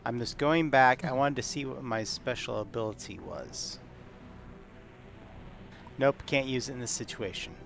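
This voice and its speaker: A man of average pitch plays a tabletop roleplaying game. He talks casually, working out his turn aloud, with faint music in the background. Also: unhurried, creaky, pauses often, a bit bright. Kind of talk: casual chat while playing. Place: live online game stream.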